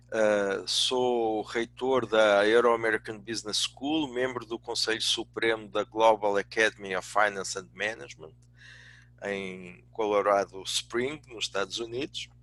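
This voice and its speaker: An older man with a European Portuguese accent giving a semi-formal prepared talk, courteous, often halting and repeating words. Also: lowish voice, a bit bright, poor audio. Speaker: guest speaker, business executive and academic.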